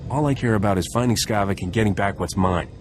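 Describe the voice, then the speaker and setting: An actor playing a cocky, sardonic starship captain in a video game. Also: male voice, fairly low pitch, fast, a little hiss or hum, close to an everyday voice. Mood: hard-edged.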